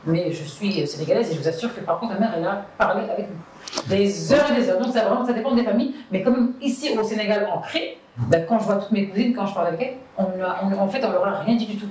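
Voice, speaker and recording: Fast-talking woman from France, echoey and distant. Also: medium pitch, a little mellow, a little hiss or hum. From France, born in Paris, of Senegalese descent. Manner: emphatic, insistent, repeats words for stress. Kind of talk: animated conversational discussion. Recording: roomy echo, distant microphone.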